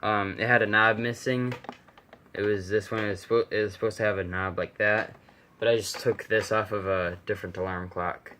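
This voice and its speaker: Casual male vlogger, lowish voice, unscripted show-and-tell over clearly audible background noise and clicks. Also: steady tone, moderate pace, pushed and bright. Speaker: amateur vlogger showing a vintage radio. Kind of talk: casual off-the-cuff demonstration.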